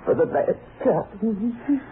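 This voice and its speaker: A woman's voice: on the high side, animated, drawn-out words. Grieving older mother, noisy old radio. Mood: sad, insistent. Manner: mournful, bitter, earnest. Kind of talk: 1950s radio drama acting. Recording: noisy, narrow vintage radio recording.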